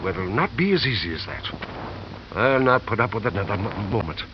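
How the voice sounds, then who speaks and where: A man's voice: lowish voice, sing-song, a little fast. An actor playing a haughty, indignant older businessman in 1950s radio drama, pompous and formal. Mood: outraged, protesting. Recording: muffled, noisy vintage radio recording.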